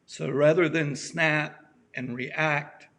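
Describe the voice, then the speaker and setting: Man, pastor, raspy voice, very slow, halting gentle sermon, frequent pauses. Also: a little high, fairly even intonation. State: allergies acting up.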